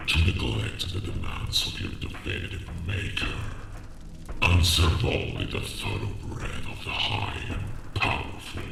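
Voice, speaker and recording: A man voicing a vengeful, embittered ancient dragon in a fantasy audio drama, grandiose and menacing in a gravelly growl. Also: very deep voice, sing-song, a little slow, noisy background, full character voice. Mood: accusing. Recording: roomy, echoing, distant voice.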